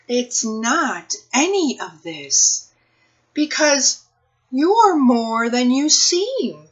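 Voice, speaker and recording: A woman speaking as a children's librarian at a read-aloud storytime, expressive and addressing young children and families. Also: high voice, drawn-out words, long pauses, muffled recording. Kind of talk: picture book read-aloud and talk to families.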